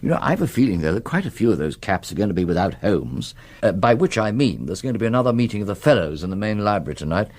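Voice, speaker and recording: An actor playing a genteel middle-aged British academic in a radio drama, drily witty, courteous and a little hesitant. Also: male voice, lowish voice, very animated, fast talker, darkish tone. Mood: wry, reassuring. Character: genteel, wry middle-aged male academic declining a contest.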